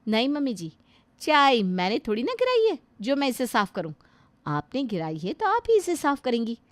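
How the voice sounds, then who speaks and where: A woman voicing a rude, sharp-tongued young daughter-in-law who scolds her mother-in-law. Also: a little high, brisk, dry recording, lightly acted. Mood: scolding. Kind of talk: Hindi family drama acting.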